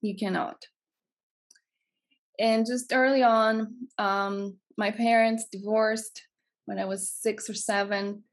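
A woman's voice: medium pitch, level tone, slow. A woman of about forty from Greece, with a Greek accent, earnestly telling her life story as a podcast guest and repeating phrases for emphasis. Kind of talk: personal storytelling in an interview.

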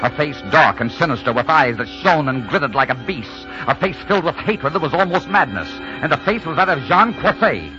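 A man's voice: on the high side, lively intonation, fairly quick, hardly any pauses, close to an everyday voice. An actor playing a tough, ardent romantic hero who narrates his adventure in dramatic 1940s radio style. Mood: tense narrating. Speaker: leading man and narrator. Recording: dull 1940s radio recording with music.

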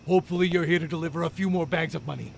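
An actor playing a prickly, eccentric reclusive ex-scientist with an excitable, blunt manner in an audio comedy. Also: male voice, on the high side, racing, gravelly, slight background noise. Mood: eager. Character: prickly, eccentric reclusive male ex-astrophysicist conspiracy author. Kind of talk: audio fiction comedy acting.

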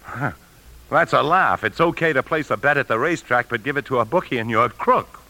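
A man's voice: medium pitch, expressive, pushed and bright. A man with an American accent playing a desperate, self-justifying husband in a 1950s radio drama, arguing emotionally. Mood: defiant, scoffing. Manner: argumentative, emotional, halting under strain. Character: desperate husband who stole from his bank, self-justifying. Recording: noisy old 1950s radio broadcast.

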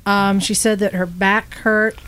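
A woman on a casual podcast recounting an incident to a group of friends. She tells it with lively energy, laughs easily, and acts out the voices of the people in the story. There is a faint hiss behind her. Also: medium pitch, unhurried. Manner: animated, laughing, acts out quoted speech. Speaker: podcast co-host.

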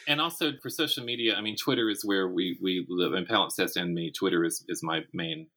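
A man's voice: sits low, a little fast, sharp tone. A middle-aged man talking casually as an interview guest, explaining things in a self-deprecating, stop-and-start way. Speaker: podcast guest, writer and writing teacher.

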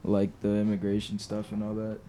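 A young man talking casually as a podcast guest in a hesitant, halting way. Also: lowish voice, completely flat delivery, mellow, slight background noise. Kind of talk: casual conversation.

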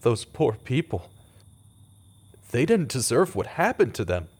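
A man's voice: medium pitch, very animated, unhurried, a little mellow, husky. An actor playing a guarded but compassionate man on the run in a radio drama, earnest and cautious. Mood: somber. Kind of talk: audio drama acting with first-person narration.

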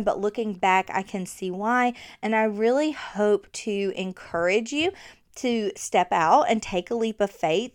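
A woman's voice: medium pitch, clean tone. Chatty Southern woman podcaster. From Alabama. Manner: chatty, laughing, lots of fillers. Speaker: podcast host. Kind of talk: casual podcast monologue. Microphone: new podcast microphone.

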